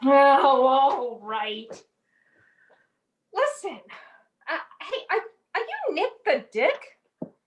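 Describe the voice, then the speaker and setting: Casting: daffy young showgirl, theatrical and tearful, slangy, slow and wildly sing-song, comic radio play. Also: high voice, wildly swooping pitch, exaggerated voice. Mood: sheepish, brazen. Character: daffy lovesick young chorus girl, melodramatic, comically crooked. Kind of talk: old-time comedic radio play acting.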